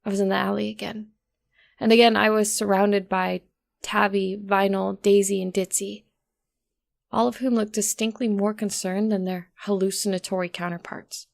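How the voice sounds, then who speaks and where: A woman plays a young storybook mare, shaken but stubborn, telling her tale in a medium-pitched voice with a creaky edge. She is wry and informal, like someone confiding in a friend. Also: little pitch movement, moderate pace, dry recording, clean studio sound, lightly acted. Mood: weary, wary. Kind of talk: first-person dramatic story narration.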